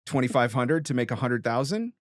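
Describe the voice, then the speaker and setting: A man's voice: medium pitch, animated, a little fast, no room sound, studio quality. A man hosting an interview as a voiceover coach, giving conversational advice in an emphatic, explanatory and encouraging way.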